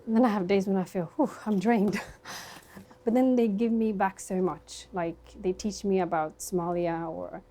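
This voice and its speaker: A woman in her twenties, raised in Sweden, who works as a dentist. She speaks softly and reflectively in a documentary interview, with frequent fillers, at her dental practice. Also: mid-range voice, fairly even intonation, moderate pace. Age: 20s.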